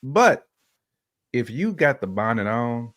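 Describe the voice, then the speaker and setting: A man's voice: medium pitch, big pitch swings, slow, fairly bright tone, a little hiss or hum. A man co-hosting a relationship podcast, chatting casually and colloquially with opinionated, slangy phrasing and repeats for emphasis.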